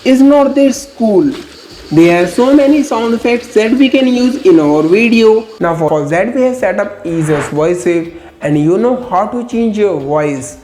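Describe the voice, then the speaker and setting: Mellow-voiced woman narrating a software tutorial. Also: medium pitch, unhurried, reverberant, slight background noise, low-quality recording. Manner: steady step-by-step instructions, enthusiastic asides.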